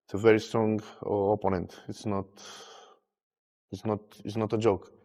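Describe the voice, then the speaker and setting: A man in his thirties, an armwrestler, speaking in short, halting English in a pre-match interview. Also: fairly low pitch, little pitch movement, dark tone, in a large hall. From Romania. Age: 30s.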